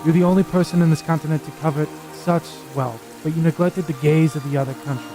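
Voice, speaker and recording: An actor playing a gruff, candid warrior adventurer in a fantasy audio drama, grave and plain-spoken. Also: male voice, on the high side, fairly even intonation, quick pace, mellow, soft background music, lightly acted. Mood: frank, grave.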